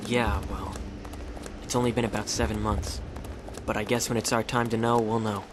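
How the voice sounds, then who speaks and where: A man's voice: on the low side, little pitch movement, normal speed, natural voice. A man playing a heroic hedgehog in an audio drama, casual, alert and clipped. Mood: alert, guarded. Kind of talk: fan audio drama acting. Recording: clearly noisy background ambience.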